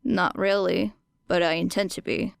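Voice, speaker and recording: A woman reader voices a bored teenage boy in an audiobook. He is guarded and defensive, speaking in a flat, matter-of-fact way. The sound is clean and dry. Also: fairly low pitch, normal speed, creaky, no room sound. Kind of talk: audiobook character dialogue.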